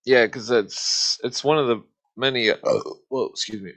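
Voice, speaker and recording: A man's voice: mid-range voice, normal speed, a bit bright. A man hosting a casual livestream chat, talking in a rambling, profane way. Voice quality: smoker's cough. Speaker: livestream host.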